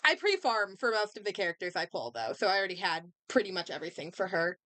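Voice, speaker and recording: A woman's voice: mid-range voice, very animated, quick pace, pushed and bright, no echo. A woman game streamer giving casual commentary and reading dialogue aloud into a close microphone.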